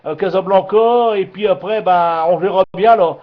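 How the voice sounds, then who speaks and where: A man's voice: high voice, normal speed. A man chatting casually over amateur radio, hesitant and repetitive, often searching for his words. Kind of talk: casual radio contact chat. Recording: muffled amateur radio transmission.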